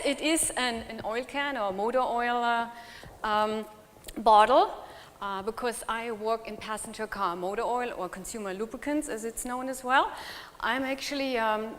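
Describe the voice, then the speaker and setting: A woman's voice: medium pitch, a bit bright. German-accented woman presenting in a reverberant hall. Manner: chatty, joking, asks the audience questions. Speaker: conference speaker. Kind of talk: conference presentation. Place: conference hall.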